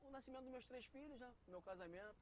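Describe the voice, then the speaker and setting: A man's voice: very high-pitched, racing, a little hiss or hum. A middle-aged man talking casually in an interview, as a local tradesman. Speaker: neighborhood locksmith.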